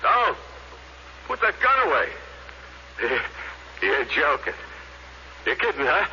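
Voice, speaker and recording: A man's voice: medium pitch, huge pitch swings, takes it slowly, pushed and bright, exaggerated voice. Crude, griping radio-drama spaceman, wildly swooping. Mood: alarmed, pleading. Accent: American. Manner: coarse slangy speech, griping and mocking. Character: crude, scornful male space crewman, lazy griper. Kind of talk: 1950s American radio drama acting. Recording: muffled noisy old radio recording.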